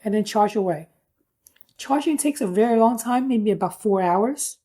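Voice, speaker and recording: A woman's voice: medium pitch, expressive, normal speed, mellow, slightly rough. A woman giving a casual hands-on product review, explaining things in an informal, plain-spoken way.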